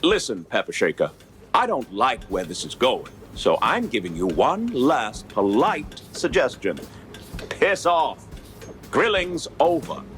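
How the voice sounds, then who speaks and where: An actor playing a testy, self-important political insider in a noir cartoon game, brusque and condescending in a 1930s manner. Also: male voice, mid-range voice, very animated, measured pace, a bit bright, faint music behind. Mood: angry, dismissive.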